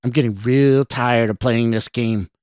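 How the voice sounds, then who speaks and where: A man's voice: medium pitch, steady tone, unhurried, darkish tone, smooth, no room sound, clean studio sound, natural voice. A man acting a sneering, menacing stranger in the mirror. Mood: irritated, snarling. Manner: snarling, mocking, scornful. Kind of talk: audiobook character voice.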